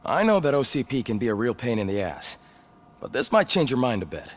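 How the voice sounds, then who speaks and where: A man's voice: mid-range voice, very animated, brisk, slight background noise, lightly acted. A man playing a chummy police weapons technician in a video game, explaining things informally and eagerly.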